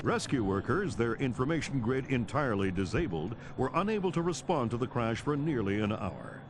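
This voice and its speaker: A man's voice: medium pitch, big pitch swings, a little fast, background noise. A man playing a serious, urgent television news anchor, reading formally and measuredly in a video game cutscene. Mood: grave. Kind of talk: scripted news bulletin in a game.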